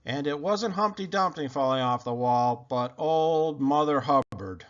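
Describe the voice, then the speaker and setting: A man narrating a children's picture book aloud in an expressive storytelling style. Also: fairly high pitch, unhurried. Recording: dull lo-fi recording.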